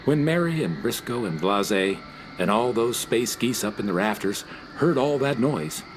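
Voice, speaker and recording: A man's voice: on the low side, lively intonation, moderate pace, a little hiss or hum, lightly acted, poor audio. A man playing a friendly radio announcer, narrating a children's radio play to listeners at home. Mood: dramatic, reassuring.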